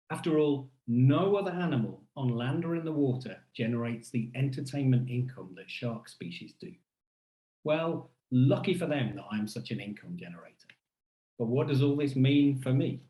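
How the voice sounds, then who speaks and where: A man gives a scripted keynote in the first person, with a roomy echo around his voice. His delivery is deliberate and rhetorical, leaning on emphatic pauses. A wry edge runs through the narration. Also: mid-range voice, expressive, warm tone. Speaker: academic keynote speaker.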